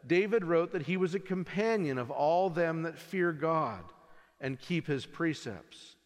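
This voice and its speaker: A pastor reading the lines of a calm, devout preacher who answers simply and plainly. The voice is high for a man, and it carries through a hall with a long reverb. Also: measured pace. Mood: solemn. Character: calm, devout traveling preacher, ill on a train.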